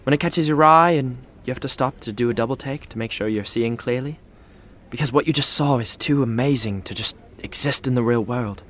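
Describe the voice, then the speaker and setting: An actor playing a lovestruck young royal fiancé in an audio romantic comedy, halting, earnest and easily flustered. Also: male voice, mid-range voice, a bit bright, natural voice. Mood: earnest, smitten. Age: young adult.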